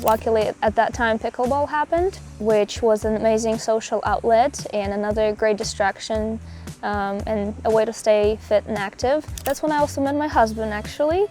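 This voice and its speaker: A woman's voice: fairly high pitch, darkish tone. A young woman from Russia speaking English, answering interview questions outdoors in a reflective, casual way. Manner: reflective, with small restarts. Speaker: pro pickleball player, guest. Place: outdoors on a hiking trail.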